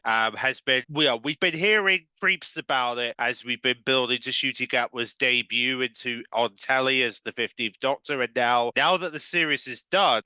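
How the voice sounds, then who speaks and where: A man delivers casual fan news commentary in a very bright, sharp voice with a clean, smooth tone. He rambles, repeating and restarting phrases as he goes. The recording is dry and close. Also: mid-range voice, dry recording. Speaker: host of a fan news show.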